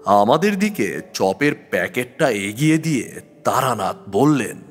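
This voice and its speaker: A man's voice: mid-range voice, big pitch swings, a little slow, soft background music. A man narrating a scripted horror story in a theatrical, suspenseful way.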